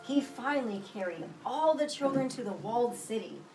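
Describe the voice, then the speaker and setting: A woman reading a children's picture book aloud with expressive, deliberate storytelling delivery. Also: on the high side. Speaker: storybook reader. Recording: roomy echo, distant mic, music behind.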